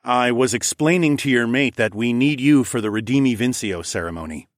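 A man's voice: medium pitch, a little fast, no room sound, clean studio sound, close to an everyday voice. A man voicing a confident, frank male dragon lord hero in an audiobook. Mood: explaining. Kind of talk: audiobook character dialogue.

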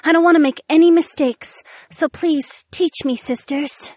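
A man's voice: very high-pitched, measured pace, dry recording, voice pushed up. A man voicing a shy, eager young mermaid woman with a breathless, giggly, pleading way of talking.

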